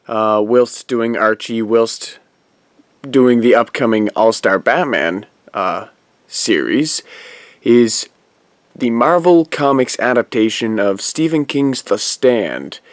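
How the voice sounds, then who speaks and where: Halting man making a dry, close announcement. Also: on the low side, dry recording. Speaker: audio drama producer, announcer. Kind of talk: informal post-credit announcement.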